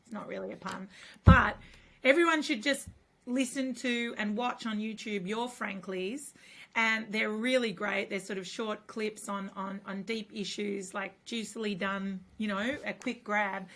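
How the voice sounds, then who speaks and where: Female interview host, husky and losing her voice, casual chatty delivery. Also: mid-range voice, fairly even intonation, measured pace. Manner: chatty, warm filler-heavy talk with many restarts. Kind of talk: casual conversation.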